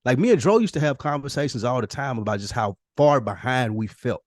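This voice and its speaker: A man's voice: medium pitch, animated, a little fast, dry recording, clean studio sound. A man talking casually and candidly as a podcast co-host, reflective and confessional, with slangy, profane, emphatic phrasing. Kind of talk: casual, candid personal conversation.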